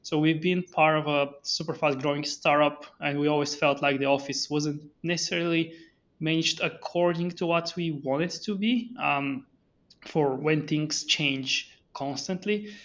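A man's voice: on the high side, fairly even intonation, measured pace, fairly bright tone, dull audio. A man, a company founder guest on a podcast interview, talking with many fillers and restarts. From Bulgaria.

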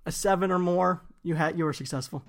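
A man's voice: sits high, fast, creaky. Laid-back male game master. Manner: relaxed, laughing, filler-heavy narration. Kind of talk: narrating a tabletop game.

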